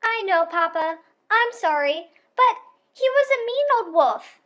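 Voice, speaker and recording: A woman voicing an eager, excitable little girl chicken in a children's picture book read-aloud. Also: extremely high pitch, measured pace, pitched above the performer's own voice, heavily acted. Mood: apologetic, insistent.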